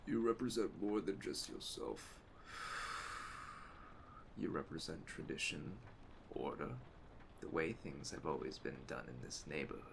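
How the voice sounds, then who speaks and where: A man playing a calm, commanding aging mafia boss in an ASMR roleplay, measured, streetwise and gravelly. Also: very deep voice, full character voice. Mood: stern, grave. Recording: clear ambient storm noise behind. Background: storm ambience.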